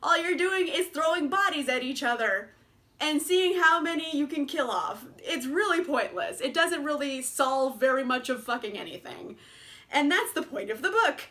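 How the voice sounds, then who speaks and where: A woman's voice: high-pitched, expressive, moderate pace, sharp tone. A young woman casually reviewing books in a chatty vlog, laughing often and swearing freely. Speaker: book reviewer.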